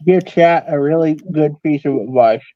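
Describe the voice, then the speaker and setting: A man's voice: on the high side, moderate pace, dry recording, muffled recording. A man co-hosting a casual livestream, joking in an offhand way with viewers.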